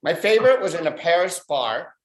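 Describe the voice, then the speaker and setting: A man delivers dry, deadpan one-liners in a stand-up set. His voice sits a little high. The sound is an echoey room heard through a video call. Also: a little fast. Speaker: stand-up comic. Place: abroad in a home room.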